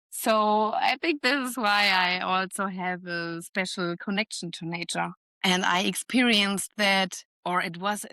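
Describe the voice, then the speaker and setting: A bright, clear-toned young woman talks in an easy podcast chat, calm and thoughtful, often pausing to find the next word. Her voice sits close and dry on the mic, with no room around it. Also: medium pitch, no echo. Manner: calm, reflective, searching for words. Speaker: podcast co-host and forest bathing trainer.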